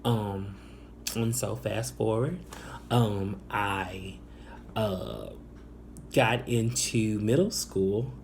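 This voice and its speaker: Slow, halting young man. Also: on the low side, expressive, vocal fry, darkish tone, a little hiss or hum. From Northwest Indiana, Chicago area. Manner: hesitant, halting, many ums and restarts. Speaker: vlogger. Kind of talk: personal storytelling to camera.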